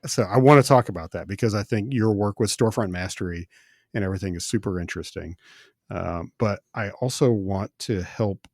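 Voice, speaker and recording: Midwestern man, middle-aged, podcast host; easygoing, lots of ums, low voice, dry studio sound. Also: fairly quick, no echo. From American Midwest. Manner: relaxed, friendly, many filler words. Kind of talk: casual interview chat. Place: recording studio.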